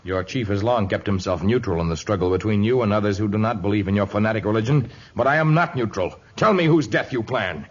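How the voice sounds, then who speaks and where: An actor playing a commanding, righteous jungle hero in firm, formal 1950s radio drama style. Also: male voice, on the low side, quick pace, hardly any pauses, slightly rough. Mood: accusing. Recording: slightly dull vintage radio recording.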